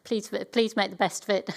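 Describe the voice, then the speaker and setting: A woman's voice: mid-range voice, fairly even intonation, normal speed, vocal fry. A woman inspector chairing a formal hearing, polite and lightly joking as she hands over to the next speaker.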